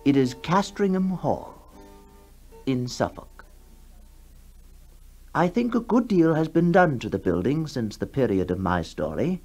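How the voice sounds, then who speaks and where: A man narrating a ghost story for 1960s radio in a measured storytelling manner with deliberate pauses. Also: mid-range voice, very animated, darkish tone. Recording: 1960s radio broadcast with music behind.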